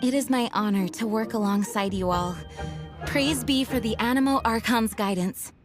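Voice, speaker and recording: A woman's voice: a little high, music in the background, lightly acted. A woman playing a dutiful knight commander. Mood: earnest. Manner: formal, measured, sincere. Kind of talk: video game voice acting.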